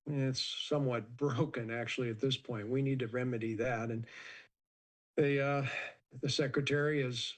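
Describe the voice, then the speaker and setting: An American man with a mid-pitched voice gives expert commentary in an interview. He speaks off the cuff, explaining things in a measured, matter-of-fact manner.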